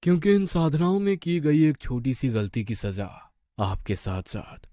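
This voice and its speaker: A man's voice: fairly high pitch, big pitch swings, measured pace, mellow, dry recording. A man narrating a scripted horror story as the storyteller.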